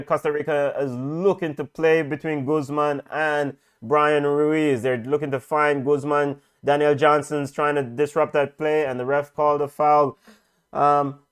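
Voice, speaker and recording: A middle-aged man commentates on a football match as it happens. His voice sits a little high for a man, and he goes through the play-by-play haltingly, repeating phrases. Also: smooth. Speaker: football watch-along commentator. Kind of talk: live football commentary with casual chat.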